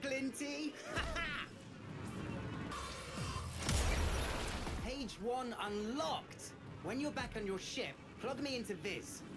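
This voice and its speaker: A scrappy, earnest young street kid from a video game, speaking slowly with big swings in pitch. The slangy, heartfelt delivery sits over prominent music. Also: male voice, heavily acted. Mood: thrilled. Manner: slangy, heartfelt, dropped grammar. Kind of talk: game cutscene acting.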